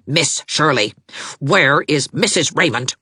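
Haughty outraged mother, shrill and imperious, a woman narrator putting her on. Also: wildly swooping pitch, moderate pace, fairly bright tone, slightly rough, dry recording, studio quality, full character voice. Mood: indignant. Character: indignant haughty mother, middle-aged woman.

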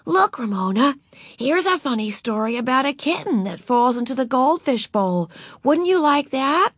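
A woman's voice: high-pitched, sing-song, moderate pace, no echo, studio quality, voice pushed up, exaggerated voice. Woman doing an anxious, proper big sister. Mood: pleading hush. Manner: anxious, hushed, pleading. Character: embarrassed proper older sister, young girl.

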